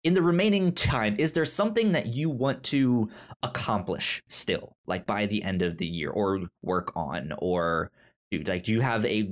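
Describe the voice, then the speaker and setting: A man hosting a casual podcast, rambling with stammered, halting restarts. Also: on the low side, expressive. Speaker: podcast host.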